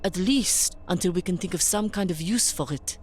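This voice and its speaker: A woman's voice: fairly low pitch, normal speed, slight background noise, natural voice. A woman playing an inquisitive scientist in an audio drama, explaining things in a methodical, formal manner. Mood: analytical, curious.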